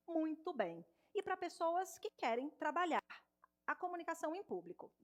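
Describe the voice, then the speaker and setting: A woman in her thirties with a Minas Gerais accent, a speech therapist giving an animated stage talk straight to the audience in an auditorium. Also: high voice. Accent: Minas Gerais Portuguese. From Minas Gerais, Brazil. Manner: warm, animated, addresses audience directly.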